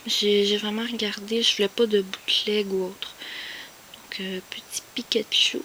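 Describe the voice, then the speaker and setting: A woman with a Québécois French accent, whispering softly as she shows off her collection, with many hesitant fillers. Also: medium pitch, little pitch movement, unhurried, slight background noise, rough recording. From Quebec. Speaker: collector showing finds. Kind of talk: soft whispered show-and-tell.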